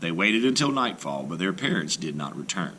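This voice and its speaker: Deep-voiced man reading a folktale aloud. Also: brisk, a little hiss or hum. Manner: expressive, animated storytelling. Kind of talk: storybook read-aloud.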